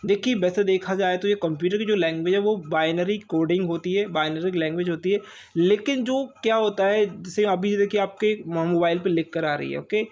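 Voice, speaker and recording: High-pitched Indian man teaching. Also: moderate pace, darkish tone, dull audio. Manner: instructive, repeats key phrases, punctuates with short check words. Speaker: online exam-prep teacher. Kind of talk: informal lesson going through multiple-choice questions.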